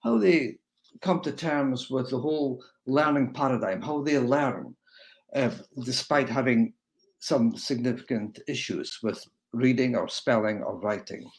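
An older man from Scotland with a Scottish accent, giving a relaxed, rambling webinar lecture over a video call from his home. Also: medium pitch, unhurried, rough recording. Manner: relaxed, rambling with fillers and restarts. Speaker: guest speaker.

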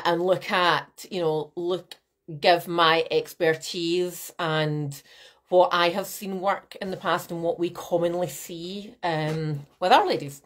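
Chatty woman coaching live. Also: lowish voice. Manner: chatty, animated, gestures a lot, greets viewers by name. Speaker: fitness and nutrition coach. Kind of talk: live-stream masterclass to viewers. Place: small room at home, indoors. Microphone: laptop webcam mic.

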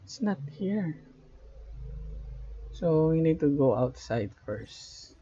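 A man's voice: on the high side, big pitch swings, slow, dark tone, lo-fi sound. A man streaming a game, giving casual commentary as he plays. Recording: game music behind.

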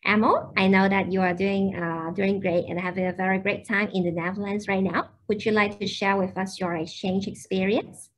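A woman's voice: medium pitch, flat delivery, moderate pace. Young woman host, webinar on a video call, informative, filler-heavy. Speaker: university admissions manager hosting a webinar. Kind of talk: hosting an online webinar.

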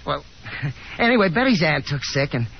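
An affable young man in a 1950s radio drama, speaks in a lively, slightly high voice. He is earnest and awkward, tripping over his words. The old broadcast sounds dull, with music behind him. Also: normal speed, vocal fry, slight background noise. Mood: awkward, apologetic. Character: affable young gentleman caller, awkwardly apologetic.

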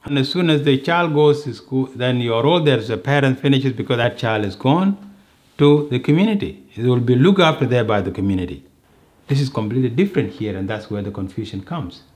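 A man's voice: mid-range voice, animated, darkish tone. Middle-aged man explaining patiently. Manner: measured, explains with examples. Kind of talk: explanatory interview talk. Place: indoor sitting room.

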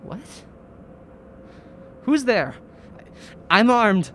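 A woman playing a desperate, obsessive dying writer in an audio drama, halting and fraught as she freezes. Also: mid-range voice, very animated. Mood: startled, defensive. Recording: clearly noisy background.

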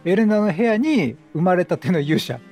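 Animated, slightly husky male game streamer. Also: sits high, moderate pace, soft background music. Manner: reactive, thinking aloud. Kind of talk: chatty let's-play commentary.

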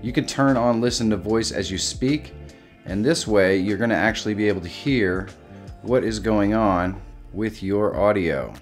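A middle-aged American man hosting a casual software walkthrough. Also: lowish voice, moderate pace. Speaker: tutorial host. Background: light background music.

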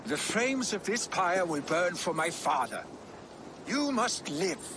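An actor playing a passionate, headstrong young Viking in a video game, forceful and pleading. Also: male voice, sits high, big pitch swings, slow, background noise, heavily acted. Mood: urgent, indignant. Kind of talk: video game dramatic acting.

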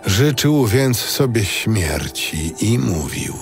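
A man playing a solemn narrator, reading a scripted audio Bible in a measured way. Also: lowish voice, big pitch swings, over music, exaggerated voice. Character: solemn male biblical narrator.

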